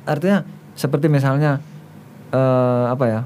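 A man's voice: mid-range voice, fairly even intonation, normal speed, mellow, a little hiss or hum. Easygoing, hesitant man chatting. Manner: easygoing, hesitant, laughs often. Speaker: soldier, interviewee. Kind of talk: casual chat.

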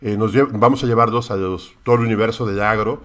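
A man's voice: fairly low pitch, steady tone, fast, a bit bright. A man hosting a podcast, introducing a guest with many restarts and hesitations. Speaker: podcast host. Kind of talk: podcast interview.

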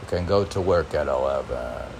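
A man reading poetry and commenting on it informally in a halting, musing, self-questioning manner. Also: rumbling low, creaky, noisy background. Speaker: poet reading and commenting on own poems.

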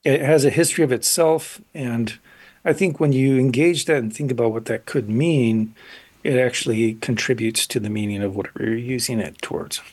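A man in his sixties, an artist interviewed on radio, speaking in a thoughtful, halting way and often pausing to find words. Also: mid-range voice, a little mellow, husky. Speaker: painter, interview guest. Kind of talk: reflective interview talk.

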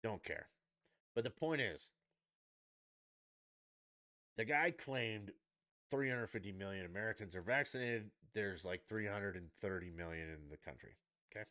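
Man, low voice, lively swings, sarcastic, crude, mocking rant. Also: a little slow, pauses often. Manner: mimics others in high mocking voices. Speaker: podcast host. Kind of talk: solo opinion rant.

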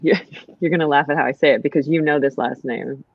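A woman's voice: sits low, a little fast, husky, no echo, muffled recording. An American woman, a writer, talking reflectively as a guest in a casual conversation, with many fillers and restarts. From United States.

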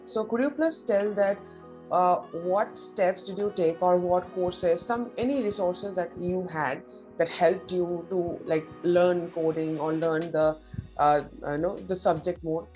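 A woman's voice: fairly low pitch, unhurried, a little mellow, faint music behind, rough recording. A woman interviewing a guest, encouraging and full of short acknowledgements. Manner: encouraging, many short backchannels. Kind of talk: casual conversational interview.

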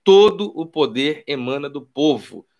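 This is a man with a Carioca Brazilian accent, talking politics in a casual, emphatic way and repeating his key phrases. His voice sits a little on the high side for a man, and he drops street slang into his talk. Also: expressive, a little slow, a little mellow, highs cut off. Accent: Carioca Brazilian Portuguese. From Rio de Janeiro, Brazil. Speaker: political YouTuber.

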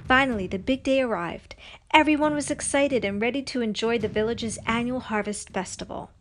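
A woman's voice: a little high, a little fast, slight background noise. Woman reading a children's storybook. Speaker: storybook reader. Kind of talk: scripted children's story narration.

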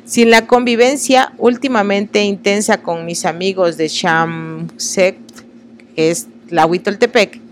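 A woman's voice: medium pitch, slight background noise. Earnest woman reading aloud. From Oaxaca, Mexico. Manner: earnest, halting over unfamiliar words, apologetic asides. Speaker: host reading texts aloud.

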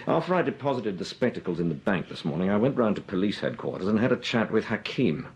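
An actor playing a calm, shrewd male detective in a radio drama, with a clipped upper-class delivery. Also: on the low side, fast. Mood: composed. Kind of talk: 1940s radio drama acting.

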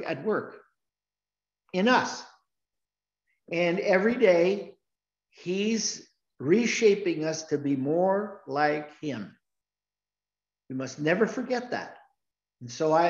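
A man leading a church service as pastor, talking in a slow, earnest way with frequent restarts. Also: a little high. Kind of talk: sermon. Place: church sanctuary. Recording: roomy, dull church recording.

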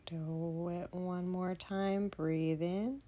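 Soft mellow woman doing a kids sleep meditation, really slow, gentle and half whispering. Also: fairly low pitch, fairly even intonation. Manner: soothing, gentle, often breathy near-whisper. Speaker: children's meditation guide. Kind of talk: guided bedtime meditation for kids.

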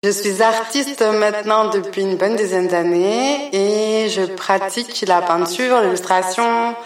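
A woman who works as an artist, telling stories in a lively way and laughing often during a casual interview. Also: medium pitch, measured pace. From Belgium. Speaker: artist guest. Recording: echoey room, voice from a distance.

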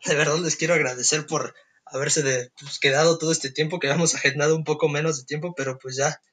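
A young adult man with a Mexican Spanish accent hosting a casual podcast chat, relaxed and quick to laugh. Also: fairly high pitch. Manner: relaxed, laughs easily, leads the segments. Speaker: podcast host.

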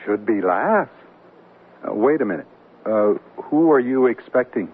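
An actor playing a good-natured, plain-spoken new husband, wry and bemused, in a 1970s radio drama. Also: male voice, mid-range voice, expressive, unhurried, breathy edge. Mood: affable, surprised. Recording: muffled, noisy old radio broadcast.

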